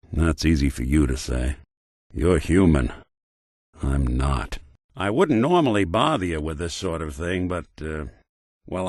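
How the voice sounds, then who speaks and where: An actor playing a world-weary American robot detective in a video game, with a wry, hesitant, hard-boiled noir delivery. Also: male voice, deep voice, no echo, clean studio sound, heavily acted. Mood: troubled, earnest, then confiding. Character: world-weary synth detective, a machine with an old-time cop's personality.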